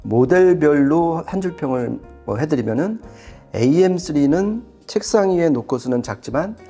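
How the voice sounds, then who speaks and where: A man's voice: on the high side, lively intonation, normal speed, warm tone, faint music behind. A man reviewing audio products in conversational commentary, explaining things with casual, emphatic phrasing.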